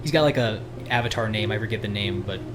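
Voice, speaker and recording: A man's voice: on the low side, fast talker, background noise. A man chatting casually on a podcast, often correcting himself as he explains his point. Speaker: podcast guest.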